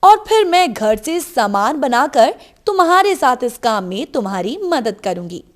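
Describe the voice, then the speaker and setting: A woman's voice: high voice, big pitch swings, unhurried. A woman voicing a practical, persuasive village wife in a storybook tale. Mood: eager, persuasive. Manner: earnest, persuasive. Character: worried, practical village wife urging her husband. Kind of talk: storybook character dialogue.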